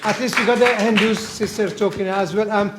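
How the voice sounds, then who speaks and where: Man, moderator, brisk, high voice, heard from far off with loud noise. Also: steady tone. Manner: brisk, directing turns. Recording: distant, noisy room recording.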